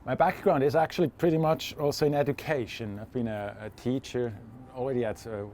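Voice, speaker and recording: A man's voice: medium pitch, steady tone, brisk, darkish tone, a little hiss or hum. A man, talking casually as a guest in an interview about himself. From Switzerland. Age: 40s. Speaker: interview guest, freediving instructor.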